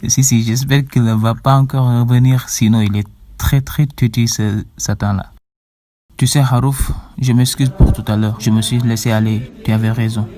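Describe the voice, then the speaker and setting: A man hosting a playful show in an animated, emphatic, promotional way. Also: mid-range voice, normal speed. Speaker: channel host.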